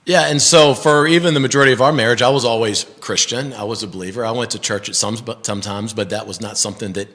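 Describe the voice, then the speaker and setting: Man with a bright voice, reverb. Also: on the low side, fast talker, few pauses. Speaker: ministry host interviewing his wife. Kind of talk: conversational interview. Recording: long hall reverb.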